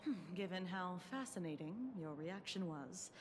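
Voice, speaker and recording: A woman voicing a composed adult female defense officer in a game, speaking in a measured, formal way over game music. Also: lowish voice, lively intonation, slight background noise, lightly acted. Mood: clinical. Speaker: game character. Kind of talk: dubbed game dialogue.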